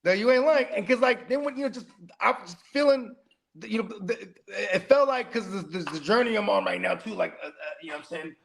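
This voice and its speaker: Gravelly high-voiced man rambling with laughs. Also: lively intonation, brisk. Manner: rambling, laughing, heavy slang and fillers. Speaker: podcast co-host. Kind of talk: casual podcast chat.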